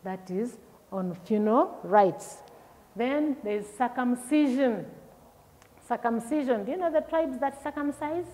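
A woman's voice: fairly high pitch, mellow. Ugandan woman teaching in an echoey room. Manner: explains slowly, asks pupils questions, repeats words. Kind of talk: recorded classroom lesson for pupils. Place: classroom with projector screen.

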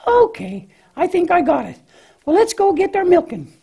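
A woman's voice: very high voice, sing-song, moderate pace, gravelly, mellow, heavily acted. A woman voicing a cheerful, naive young lamb in a children's church puppet show, hesitant and questioning in a childlike way. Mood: curious.

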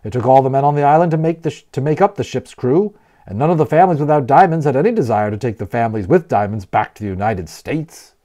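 A man reading a children's novel aloud as a storyteller, expressively and with deliberate emphasis on key words. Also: mid-range voice, animated, dark tone. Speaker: audiobook narrator and storyteller.

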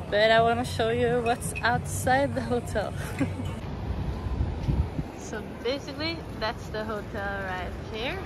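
A young woman, chatting bubbly and casual into a phone held at arm's length outdoors. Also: on the high side. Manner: bubbly, chatty, laughs often. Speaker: vlogger. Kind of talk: casual walking vlog. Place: outdoors by a beach. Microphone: phone at arm's length, selfie camera. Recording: loud outdoor noise behind.

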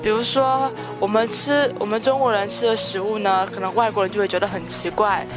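A young woman from China, a volunteer, answering interview questions in a measured way with occasional fillers. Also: mid-range voice, steady tone, music in the background.

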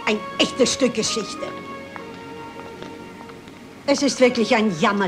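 A woman playing a shrewd, resolute elderly lady detective in a German crime radio play, brisk and self-assured. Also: medium pitch, natural voice. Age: older. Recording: hissy old radio-play recording.

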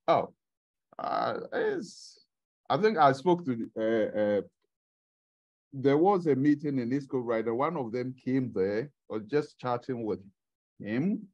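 A man's voice: medium pitch, a little mellow, highs cut off. A middle-aged man preaching a sermon to a congregation in a church meeting room, asking them questions as he goes, heard from a camera at the back. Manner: unhurried, asks the congregation questions. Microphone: far camera at the back of the room.

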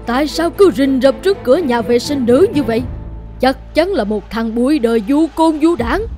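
High, indignant cartoon village woman. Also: expressive, moderate pace, over music. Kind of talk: dubbed cartoon melodrama.

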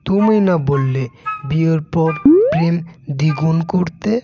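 A man's voice: fairly high pitch, a little slow. A man voicing a foolish, cheeky husband in a comic cartoon, with exaggerated wisecracking delivery. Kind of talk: comic cartoon joke dialogue. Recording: dull lo-fi audio under loud music.

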